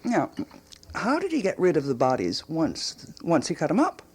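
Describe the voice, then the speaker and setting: A woman's voice: low-pitched, huge pitch swings, moderate pace, a little mellow, slight background noise. An actress playing an exuberant, self-assured older woman intellectual and amateur sleuth in a radio drama, theatrical and teasing. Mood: keen, deliberating.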